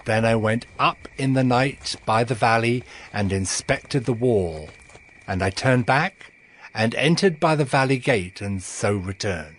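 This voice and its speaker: A man narrating a dramatized scripture story in a solemn, measured storytelling manner. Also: medium pitch, animated, a little hiss or hum. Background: background music.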